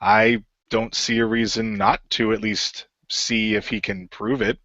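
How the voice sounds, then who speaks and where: A man playing a skeptical but open-minded fellow in a radio play, speaking hesitantly and deliberately as he weighs things up. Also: on the low side, level tone, fairly bright tone, dry recording. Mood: doubtful, pondering. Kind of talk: improvised radio play roleplay. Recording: dull lo-fi voice recording.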